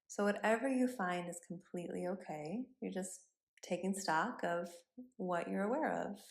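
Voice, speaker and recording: A woman yoga instructor leading a body scan meditation in a gentle, instructive manner with pauses between cues. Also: on the low side, creaky. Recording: roomy, echoing sound.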